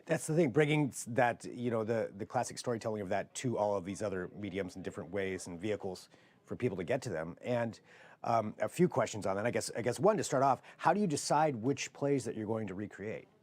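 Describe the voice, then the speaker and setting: Male news interviewer, slightly low voice, casual conversational questioning on a live newsroom broadcast. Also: expressive, fairly quick, a little mellow.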